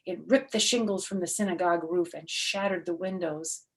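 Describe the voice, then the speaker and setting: A woman narrating a children's story aloud in an expressive, dramatic and deliberate way. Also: lowish voice, low-quality recording. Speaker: storyteller reading a picture book aloud.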